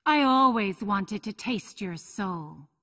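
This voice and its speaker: An actress voicing a seductive, playful, teasing succubus demon in a fighting video game, with a sultry, flirtatious delivery. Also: female voice, medium pitch, lively intonation, slow pace, fairly bright tone.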